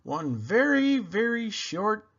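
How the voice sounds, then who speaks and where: Man doing a high, excitable cool uncle. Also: highs cut off, full character voice. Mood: sheepish. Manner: loud, enthusiastic, drawn-out delivery.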